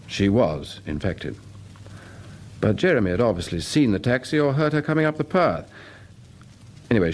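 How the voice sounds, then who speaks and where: A brisk, sensible British doctor in a radio play. His pitch moves about freely as he recounts and reassures with a patronising edge, over a faintly hissy recording. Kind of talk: radio drama acting and narration.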